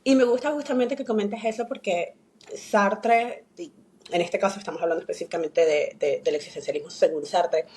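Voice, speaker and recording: A woman's voice: medium pitch, darkish tone. A woman co-hosting a philosophy podcast, engaged and explaining ideas, sometimes stumbling over repeated words. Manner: introduces guest, engaged, stammers when building a point. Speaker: podcast co-host. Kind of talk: podcast conversation.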